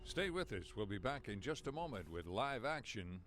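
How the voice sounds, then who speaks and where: A man reading formal scripted narration for a sports broadcast, measured and even. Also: on the low side. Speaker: announcer. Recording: voice-over with music bed. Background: music.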